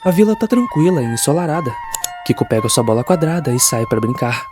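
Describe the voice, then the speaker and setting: A man's voice: mid-range voice, big pitch swings, brisk. Male narrator, Brazilian accent, mid-range voice, scripted horror storytelling over prominent music.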